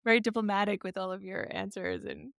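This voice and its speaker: A woman's voice: mid-range voice, fairly even intonation, creaky, dry recording, clean studio sound. A woman hosting a podcast interview, asking questions in a casual, encouraging way and affirming often. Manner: encouraging, affirming, many quick yeahs. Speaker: podcast host.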